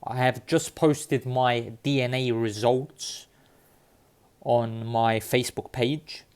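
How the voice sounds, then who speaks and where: Slow, flat-voiced man from Cyprus. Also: mid-range voice, smooth. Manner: halting, many fillers and restarts. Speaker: vlogger sharing DNA results. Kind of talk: casual talk to camera. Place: London.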